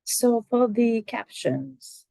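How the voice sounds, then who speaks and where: A woman with a French Canadian accent, a native French speaker, asking questions on a video call in a hesitant, halting way. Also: fairly high pitch, expressive, gravelly, dry recording, rough recording. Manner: hesitant, halting, soft-spoken. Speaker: first-time presenter asking questions.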